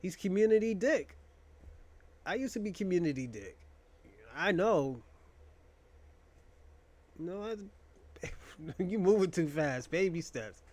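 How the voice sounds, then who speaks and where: A man's voice: high-pitched, animated, takes it slowly, long pauses, a little mellow. A young man hosting a casual monologue, rambling and joking in a crude, profane way. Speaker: podcast host.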